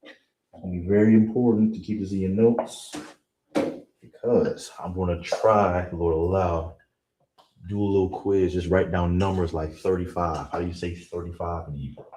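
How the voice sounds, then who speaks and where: A man teaching a live-streamed online language class in a relaxed way, often checking in with "you understand?" and "all right?". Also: low voice, normal speed. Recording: roomy, distant, faint hiss.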